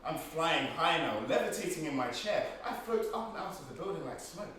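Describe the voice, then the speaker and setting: A man's voice: a little high, lively intonation, fairly quick, a bit bright. An actor playing an intense, restless young man, wry and poetic, in a theatrical monologue. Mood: intense, rising. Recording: echoey room, far mic, noisy.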